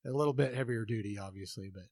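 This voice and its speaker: A man's voice: lowish voice, quick pace, a little mellow, dry recording, studio quality. A man co-hosting a casual podcast, explaining things in an easygoing way with many ums and uhs. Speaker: podcast co-host.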